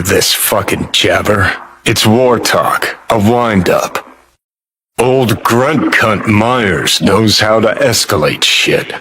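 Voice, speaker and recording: A man's voice: on the low side, a bit bright, breathy edge, full character voice, rough recording. An American man playing a cynical, world-weary rebel rock star in a video game, with a sardonic, clipped drawl and plenty of swearing. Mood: contemptuous. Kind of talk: video game character dialogue.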